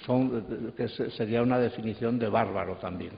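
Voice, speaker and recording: A man speaking on a panel, giving erudite, reflective commentary in halting phrases. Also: fairly low pitch, vocal fry, slight background noise. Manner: halting, pausing between phrases. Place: stage of a large auditorium. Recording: muffled, echoing auditorium sound.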